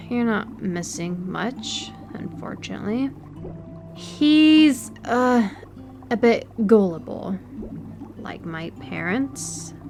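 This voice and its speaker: A woman acts out an educated aquarium worker, daughter of the owners, in an audio drama. She speaks at a middle pitch with precise, measured phrasing and a polite condescension, as music plays behind her. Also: darkish tone. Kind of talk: audio roleplay acting, one-sided conversation.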